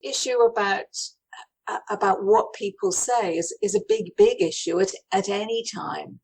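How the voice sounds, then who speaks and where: A woman's voice: mid-range voice, normal speed, gravelly, darkish tone, breathy edge, low-quality recording. A woman talking conversationally as an interviewee, feeling her way through phrases and voicing what other people say. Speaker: charity director being interviewed.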